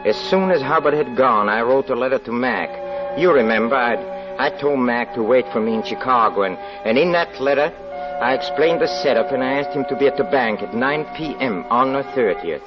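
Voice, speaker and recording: An actor playing a cunning, self-satisfied criminal who poses as a saintly parson, with halting theatrical delivery in 1940s radio drama style. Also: male voice, mid-range voice, lively intonation, close to an everyday voice. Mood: gloating, excited. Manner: theatrical, halting, repeats words. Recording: dull 1940s radio recording with music.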